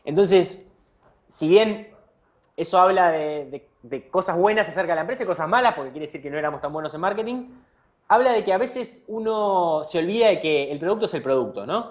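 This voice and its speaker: A man's voice: sits high, lively intonation, a little fast. Argentine man giving earnest startup advice. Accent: Argentine Spanish. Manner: earnest advice-giving, frequent fillers and restarts. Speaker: startup CEO being interviewed. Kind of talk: conversational interview answers.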